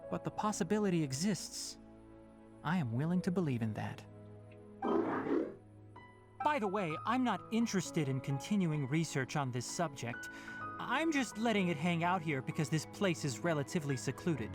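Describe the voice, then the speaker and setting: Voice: mid-range voice, big pitch swings, moderate pace, over music, close to an everyday voice. An actor playing a young male scholarly forest ranger, precise and drily sardonic, in an anime-style game. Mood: calm, reflective. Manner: precise, formal, drily stern.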